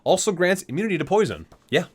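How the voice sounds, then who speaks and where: Man reading aloud with lively, swinging pitch. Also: fast. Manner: stumbles over words and corrects himself while reading. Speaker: host of an ASMR unboxing and reading video. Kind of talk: ASMR reading aloud from a game guidebook.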